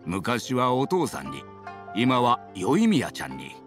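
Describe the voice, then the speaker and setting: A genial old husband in an anime game. He sounds hospitable and grateful, with a mid-pitched, very animated delivery over faint music. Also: slow pace.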